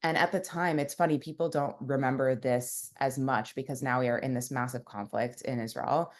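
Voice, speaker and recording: A woman's voice: extremely low pitch, fairly even intonation, normal speed. A young woman, a founder, telling her story in a casual interview, rambling with many fillers. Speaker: founder and guest.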